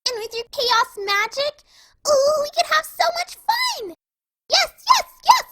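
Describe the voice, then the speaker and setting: A woman voicing an excitable, bubbly cartoon pony mare with a gushing, bouncy way of talking. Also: extremely high pitch, measured pace, background noise, exaggerated voice. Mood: excited, cheerful.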